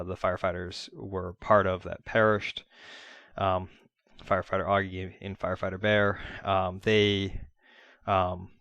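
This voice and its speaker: A man giving an informal spoken debrief in an earnest, plain-spoken, halting manner, like a trainer talking to colleagues. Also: low-pitched, a bit bright, no room sound. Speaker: fire-rescue commentator and trainer.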